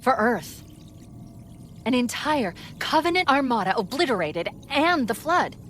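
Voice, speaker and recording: A woman voicing a quick-witted, wry AI companion in a video game, crisp and assured. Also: high-pitched, sing-song, a bit bright, slight background noise, heavily acted. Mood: somber, resolute. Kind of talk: cinematic game acting.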